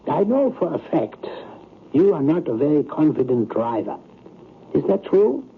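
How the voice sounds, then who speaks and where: An actor playing a skeptical, patronizing psychiatrist in an old radio drama, with a deliberate, lecturing manner. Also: male voice, fairly high pitch, sing-song, vocal fry. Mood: assured, lecturing. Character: probing, skeptical male psychiatrist, confident and patronizing. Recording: narrow, noisy vintage radio broadcast.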